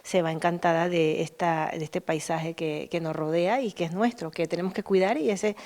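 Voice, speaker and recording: Lady getting interviewed about her work, kinda low voice, keen and explaining things. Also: steady tone, moderate pace, darkish tone. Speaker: interviewed olive oil producer and hotel host.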